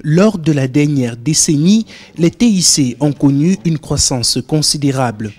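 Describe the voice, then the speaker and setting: A man's voice: on the high side, measured pace. Man narrating a documentary report. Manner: formal, even reading. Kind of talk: scripted narration.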